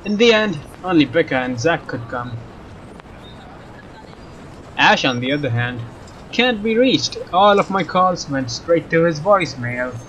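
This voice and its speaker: A man's voice: on the high side, expressive, unhurried, long pauses, a bit bright, a little hiss or hum. A man hosting a let's play, reading game narration aloud in a casual way and sometimes rereading phrases. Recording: slightly dull mic, game music behind. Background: game music with guitar.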